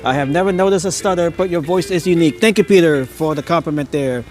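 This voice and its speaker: A man's voice: fairly high pitch, fast talker, a little mellow, faint music behind. A man hosting a live stream as he walks a street outdoors, speaking with awe, chuckling often and stammering now and then. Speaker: live-stream host touring holiday lights. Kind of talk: casual live-stream narration. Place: outdoors on a neighborhood street at night. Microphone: phone on a handheld gimbal.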